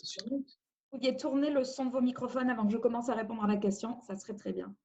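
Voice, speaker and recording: A woman's voice: a little high, flat delivery, fast, mellow, muffled recording. A woman from France, an immigration lawyer, giving a webinar presentation over a video call, explaining carefully with frequent hesitations.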